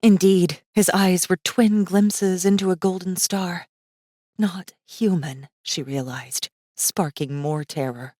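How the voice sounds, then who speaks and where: Low-voiced woman narrating suspenseful fantasy. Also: slow, warm tone, no room sound, clean studio sound. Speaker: audiobook narrator. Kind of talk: dramatic scripted fantasy narration.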